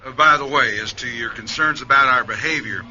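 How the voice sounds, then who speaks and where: A man's voice: mid-range voice, a little fast, bright, forceful tone. A man playing a formal, suspicious spaceship commander in a radio play, stiffly courteous and guarded. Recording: dull band-limited sound, music behind.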